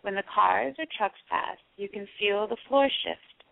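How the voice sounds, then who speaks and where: A woman from Panama, a poet and artist guesting on a radio show, speaking in a reflective, measured way with frequent fillers and restarts. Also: mid-range voice, creaky, dry recording. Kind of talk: conversational interview and reading her own prose. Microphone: phone call. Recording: tinny phone line.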